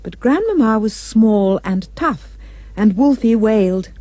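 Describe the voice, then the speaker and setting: A woman giving expressive, theatrical rhyming storybook narration for a puppet show. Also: fairly high pitch, a little slow, no echo.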